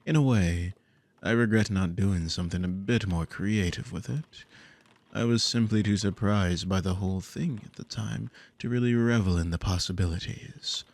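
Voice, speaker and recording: Man as a deep, gravelly, possessive demon. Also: darkish tone. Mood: musing, possessive. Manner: commanding, condescending, theatrical drawl with lingering pauses. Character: dominant possessive male demon, smug and silkily menacing. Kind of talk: ASMR audio roleplay, intimate scripted monologue.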